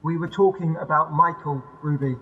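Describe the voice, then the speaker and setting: A man's voice: a little high, steady tone, moderate pace, natural voice. An actor in an audio drama playing an insistent, teasing man who prods like a nagging inner voice. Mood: probing. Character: needling male inner voice dredging up memories. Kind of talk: audio drama acting, poetic second-person narration. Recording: dull sound with long hall reverb.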